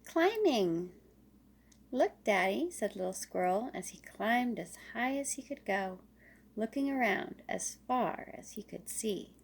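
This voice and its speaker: A woman narrates a children's storybook at a slow, deliberate pace. Her delivery is expressive, with a noticeable creak in the voice. She reads as a storyteller for young listeners. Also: mid-range voice.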